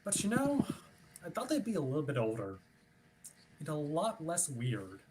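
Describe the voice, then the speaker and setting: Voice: medium pitch, huge pitch swings, normal speed, darkish tone. An actor playing an officious, politely pushy ship's watch officer in a formal, wordy script reading. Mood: wry, skeptical. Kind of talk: theatrical script reading over a livestream. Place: remote online stream.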